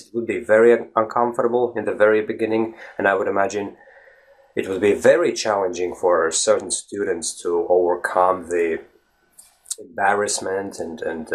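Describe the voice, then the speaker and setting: Lower-voiced man. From Latvia. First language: Latvian. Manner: emphatic, talks to viewers directly, often asks "right?". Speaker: vlog host. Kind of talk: casual solo vlog talk.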